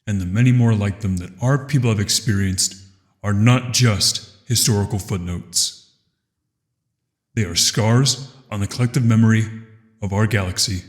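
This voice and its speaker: A man playing a grave alien leader who delivers a measured memorial speech in a sci-fi audio drama. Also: lowish voice, natural voice. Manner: measured, grave, oratorical with weighty pauses. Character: grave alien co-chair commemorating his people's tragedies. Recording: long hall reverb.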